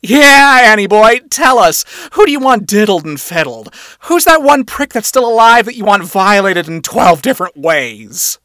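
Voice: mid-range voice, sing-song, moderate pace, hardly any pauses, pushed and bright, no room sound, clean studio sound, voice pushed up. A performer voicing a wacky, lewd male imp boss who talks in a flamboyant, teasing, over-emphatic way. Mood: goading, lewd.

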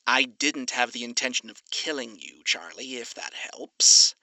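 Someone playing a suave male demon in an audiobook, with a very bright, edged voice that swoops in pitch. He drawls slowly and teasingly, sinister and predatory, in a dry, close recording. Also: animated, no echo, heavily acted, poor audio. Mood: soft menace. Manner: theatrical, drawling, menacing. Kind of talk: acted audiobook dialogue.

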